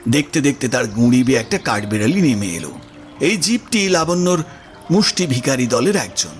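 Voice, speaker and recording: Expressive male narrator with lively pitch. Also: moderate pace. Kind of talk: scripted story narration. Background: music.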